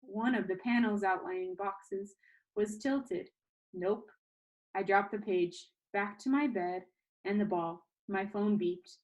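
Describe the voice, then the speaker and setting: A woman with a medium-pitched voice reads a children's novel aloud. She narrates in the first person with expression, and the delivery is homely, with the odd small stumble. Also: darkish tone, low-quality recording.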